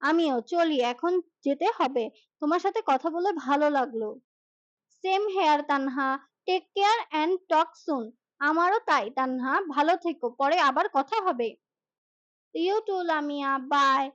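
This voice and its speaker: A woman reading a scripted language lesson dialogue line by line as a teacher, in a deliberate manner. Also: high voice, a bit bright, dry recording, lo-fi sound. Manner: slow deliberate English, brisk translations. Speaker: English teacher.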